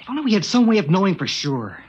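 A jittery teenage boy in a vintage radio play, voice slightly high and fidgety. The recording is muffled and dull like an old broadcast. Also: sing-song, quick pace, breathy edge, full character voice. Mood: nervous. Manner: nervous, fidgety. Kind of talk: 1950s radio drama acting.

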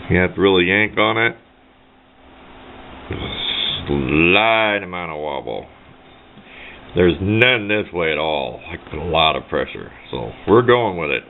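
Tinny, low-voiced man rambling casually. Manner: folksy, rambling asides. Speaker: hobbyist radio video maker. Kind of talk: casual hands-on demonstration commentary. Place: indoor workbench. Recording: tinny, band-limited camera mic with noise.

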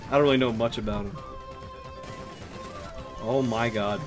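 A man's voice: mid-range voice, fairly even intonation, moderate pace, over music. A young man casually reacting to gameplay clips as co-host, laughing often and swearing freely.

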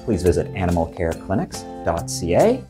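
A man's voice: sits low, normal speed, music in the background. A man in his thirties, a veterinarian, hosting a product unboxing in a living room and talking with eager, chatty enthusiasm. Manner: enthusiastic, chatty, lively exclamations. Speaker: veterinarian and channel host. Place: living room with wooden table, indoors.

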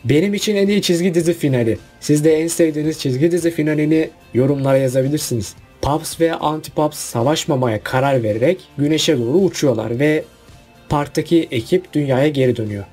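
A man talks through a countdown in a casual, chatty voice-over. His voice sits a little high but sounds mellow and husky. Faint music plays underneath. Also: fairly quick. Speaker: video narrator. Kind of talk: list video voice-over.

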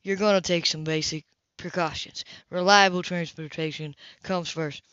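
A woman's voice: on the low side, steady tone, normal speed, a bit bright, no room sound, highs cut off, close to an everyday voice. Woman playing a curt, furious, bossy man. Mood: stern, angry. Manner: curt, scolding, profane. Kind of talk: dramatic audiobook character dialogue.

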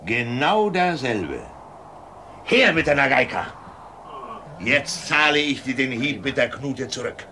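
A proud, defiant hero of a radio drama. His mid-pitched voice is forceful and intensely declamatory, with faint noise behind it. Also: sing-song, takes it slowly, long pauses, full character voice. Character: defiant, proud male courier hero held captive. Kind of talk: radio play acting.

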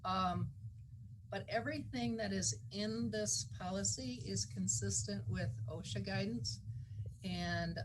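A woman county official giving a measured, halting, procedural explanation of a policy at a public board meeting. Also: medium pitch. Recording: noisy meeting-room recording.

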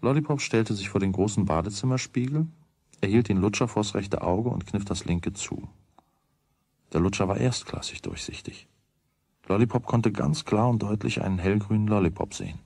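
A man narrating a children's radio play as a wry, deliberate storyteller with a husky voice. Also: sits low, a little mellow, no room sound. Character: wry storyteller of a boy's everyday troubles.

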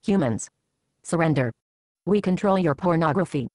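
Woman as a low-voiced, pompous robot conqueror. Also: animated, normal speed, darkish tone, a little hiss or hum. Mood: menacing. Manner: pompous, formal, declamatory. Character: grandiose, coldly logical robot conqueror. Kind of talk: scripted animated comedy dialogue.

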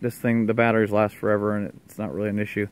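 A man's voice: fairly low pitch, completely flat delivery, rapid-fire. An American man giving a casual, unscripted explanation as a DIY video maker, talking outdoors into a handheld camera. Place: outdoors in a leafy wooded yard.

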